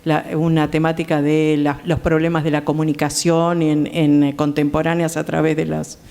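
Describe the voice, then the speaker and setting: A woman with a Rioplatense Argentine accent speaking as a panel guest, explaining informally and haltingly. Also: sits low, flat delivery, vocal fry, warm tone. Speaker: panel guest, theatre director. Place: theatre, live conversation. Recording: reverberant theatre hall.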